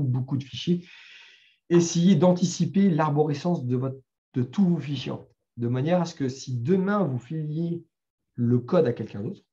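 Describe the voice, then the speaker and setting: A man's voice: mid-range voice, dark tone, highs cut off. A middle-aged man with a French accent giving a conversational talk at a developer meetup, full of fillers and restarts. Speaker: meetup speaker. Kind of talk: live talk with screen demo.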